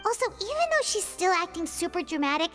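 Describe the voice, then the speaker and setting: A tiny childlike game sidekick with an extremely high, squeaky voice that bounces up and down. The creature is chirpy, cheeky and outspoken, over background music. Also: female voice, big pitch swings, fast, fairly bright tone, full character voice. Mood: indignant. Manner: squeaky, exclamatory, informal. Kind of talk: video game character acting. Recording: music behind the voice.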